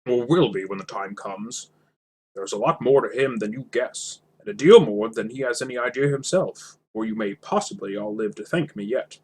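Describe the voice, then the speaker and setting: A man's voice: medium pitch, normal speed, darkish tone, slightly rough, natural voice, poor audio. A man voicing a stern old wizard from a storybook, speaking with emphatic authority. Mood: stern, commanding.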